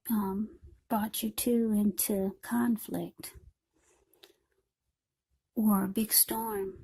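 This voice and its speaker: Husky high-voiced woman reading tarot. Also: mellow. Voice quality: husky, breathy. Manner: unscripted, short broken phrases with repeats. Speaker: tarot card reader. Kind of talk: informal tarot reading spoken to the viewer.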